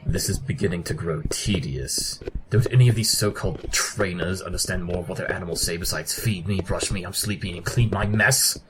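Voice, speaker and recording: Man playing a haughty, fast-talking deep-voiced detective. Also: crowd noise, natural voice, poor audio. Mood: impatient, haughty. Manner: clipped, pompous, deductive. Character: brilliant tactless pony detective, cool and haughty. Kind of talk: audio drama acting.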